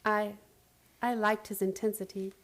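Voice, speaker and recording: An actress playing an earnest, distraught schoolteacher in a radio drama, with a pleading, confiding intensity. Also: female voice, medium pitch, little pitch movement, a little slow, a bit bright. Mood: confiding, wistful. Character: earnest, distraught female high school physics teacher pleading for help. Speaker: narrator and lead character of radio play.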